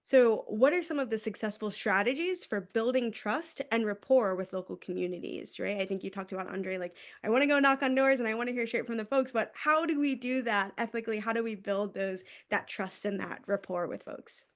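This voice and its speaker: A woman's voice: a little high, fairly quick, hardly any pauses. A woman moderates an online panel and hands questions to the speakers. She sounds upbeat and encouraging, with a chatty, friendly way of talking. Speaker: webinar moderator.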